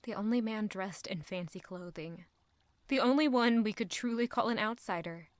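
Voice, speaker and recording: A woman's voice: medium pitch, normal speed, dry recording, lightly acted. An actress playing an anguished, proud young court lady in an audio drama, brooding intimately in a dramatic first-person monologue. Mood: bitter. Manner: intimate, brooding, emotionally intense.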